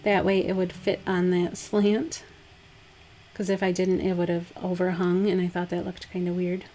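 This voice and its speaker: A woman with a slightly hoarse, dry voice, casually talking through a DIY craft project step by step. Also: on the low side, normal speed, faint music behind. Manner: relaxed, chatty, step-by-step instructions with fillers. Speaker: craft vlogger. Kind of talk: casual DIY tutorial voice-over.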